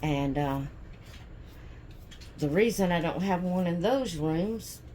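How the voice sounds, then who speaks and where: Hoarse, slow-talking woman vlogger. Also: lowish voice, animated, mellow, a little hiss or hum. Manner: rambling, chatty, many fillers and restarts. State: hoarse from sneezing. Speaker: doll channel vlogger. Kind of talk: casual chat. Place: at home indoors.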